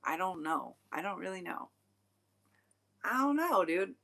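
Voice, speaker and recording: Low-voiced, bright, rambling tarot reader woman. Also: big pitch swings, a little slow, gravelly. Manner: rambling, frank, swears casually, many fillers. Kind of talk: casual livestream tarot reading.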